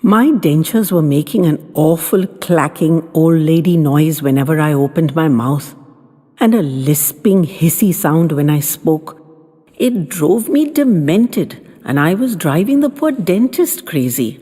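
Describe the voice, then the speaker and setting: A woman's voice: lowish voice, dark tone, breathy edge. A woman narrating a scripted first-person story as an elderly widow reminiscing, in a chatty, wry manner. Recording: long reverberant hall sound, faint music.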